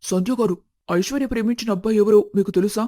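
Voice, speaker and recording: Dark-toned worried husband, played by a man, quick delivery, polite, serious, animated moral story. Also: high-pitched, no echo. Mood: polite, urgent. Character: worried, polite wronged young husband seeking answers.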